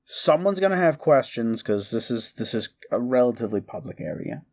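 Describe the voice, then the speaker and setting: A man playing a gleeful, mischievous accomplice in a comedic audio roleplay, chatting excitedly with hesitant asides. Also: medium pitch, a little fast. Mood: casual, wary. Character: gleeful, mischievous young man, amused accomplice in dark prank.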